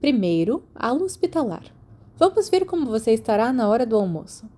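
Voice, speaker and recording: A Brazilian woman voicing a firm, caring school nurse with a brisk, motherly manner in an audiobook. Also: fairly high pitch, big pitch swings, warm tone, voice pushed up. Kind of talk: audiobook character voice.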